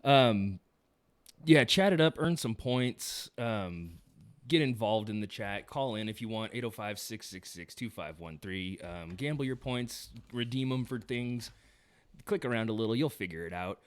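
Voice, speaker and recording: A man's voice: lowish voice, expressive, a little slow, smooth. Man, livestream host, casual joking patter with lots of laughs. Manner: laughs often, loose and joking, many fillers. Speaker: podcast host.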